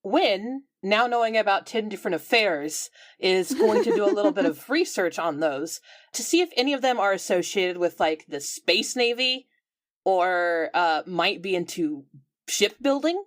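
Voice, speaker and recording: A woman plays a tabletop roleplaying game, narrating her character casually. Her pitch swings widely and expressively, and the sound is dry with no room to it. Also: lively intonation, normal speed, no echo.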